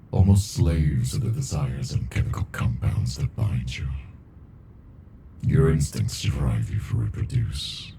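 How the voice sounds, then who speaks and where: Extremely deep, slow alien monster. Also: male voice, mellow, slight background noise, exaggerated voice. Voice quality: very deep, gravelly, breathy murmur. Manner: slow, deliberate, brooding, condescending yet intimate. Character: ancient, weary, captive male alien monster, aloof but fascinated. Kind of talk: ASMR character roleplay monologue.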